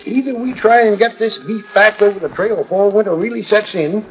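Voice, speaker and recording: A crusty high creaky cowhand, western drawl, noisy old radio show. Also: male voice, brisk, highs cut off. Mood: doubtful. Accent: American Western. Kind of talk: 1940s radio western acting. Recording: noisy 1940s radio transcription disc.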